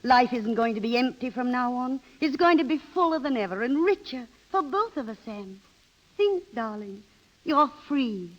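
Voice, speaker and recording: An actress playing an eager, well-to-do wife who is brisk and coaxing, in 1930s radio drama style. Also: female voice, sits high, lively intonation. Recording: dull, lo-fi 1930s radio recording.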